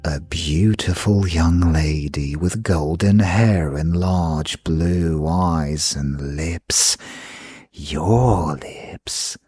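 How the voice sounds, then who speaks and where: A man voicing a languid, mysterious young lady in a gothic audiobook, husky and gravelly, with intimate, drawn-out phrasing. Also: sits low, warm tone, no echo, soft background music, full character voice. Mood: dreamy, fervent.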